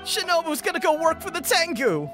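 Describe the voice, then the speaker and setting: Blunt, excitable young gang sidekick. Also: female voice, extremely high pitch, a little fast, over music. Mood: alarmed. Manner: blunt, slangy. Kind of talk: video game voice acting.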